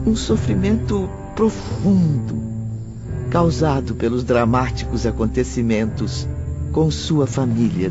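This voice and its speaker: A man narrating an audiobook in a solemn, theatrical scripted style. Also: medium pitch. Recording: muffled, loud music behind.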